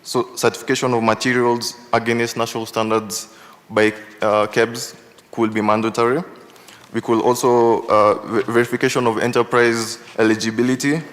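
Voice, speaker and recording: A man answering a committee's questions at a hearing, measured and careful, with many pauses. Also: mid-range voice, level tone, a bit bright. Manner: measured, careful, filler-heavy. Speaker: youth council presenter. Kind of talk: formal reply at a hearing. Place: large hearing room. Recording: long hall reverb.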